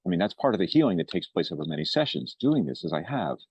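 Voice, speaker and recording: A man's voice: low-pitched, very animated, fast talker, gravelly, darkish tone, slightly rough, no room sound. A man giving an informal personal testimonial to camera on a dull, lo-fi recording. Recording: dull, lo-fi sound.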